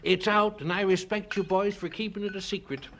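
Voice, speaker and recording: A man's voice: high-pitched, fairly even intonation, brisk, fairly bright tone, a little hiss or hum. An actor playing a genial, folksy small-town ice cream shop man in a 1970s radio drama. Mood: regretful. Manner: folksy, chuckling, chatty.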